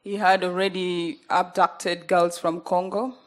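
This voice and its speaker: A young woman with a Ugandan accent, speaking earnestly at a panel, pausing often with fillers as she gathers her words. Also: lowish voice, flat delivery. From northern Uganda. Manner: earnest, halting, many fillers. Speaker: journalist panelist. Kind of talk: panel talk.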